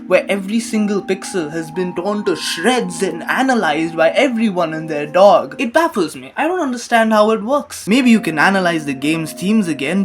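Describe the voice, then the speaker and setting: Higher-pitched young man ranting over music. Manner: hyperbolic, sarcastic, crude joking. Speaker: YouTube commentary host. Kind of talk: casual comedic video-essay rant. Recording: music behind the voice. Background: background music.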